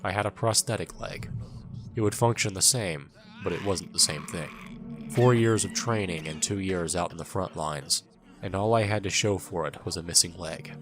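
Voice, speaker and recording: Audio drama casting: male actor as wounded young soldier narrating, very low voice, somber and wry, over music. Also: moderate pace, natural voice. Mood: wry, bleak. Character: bitter wounded young male soldier recounting his war.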